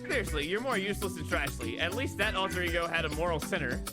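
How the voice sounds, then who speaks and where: A man's voice: sits high, animated, fast. A man voicing a sardonic, exasperated young woman in a visual novel, dry and skeptical as she talks with her own mind. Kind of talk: visual novel character read-aloud. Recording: loud music behind. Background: loud music.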